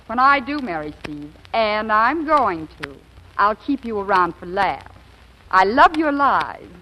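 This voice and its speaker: Bright, catty blonde in reverberant hall. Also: female voice, mid-range voice, big pitch swings, a little slow. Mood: mocking, spiteful. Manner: mocking, affectedly sweet. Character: catty, self-assured glamorous blonde rival. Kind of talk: 1940s radio comedy acting. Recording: reverberant, noisy old radio recording.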